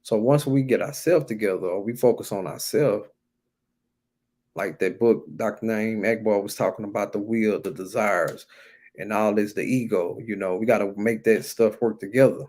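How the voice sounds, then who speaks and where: A man's voice: fairly low pitch, moderate pace, a little mellow. An American man preaching in an informal, colloquial way, stressing and repeating key phrases as he exhorts his listeners. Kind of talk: informal sermon, exhorting talk.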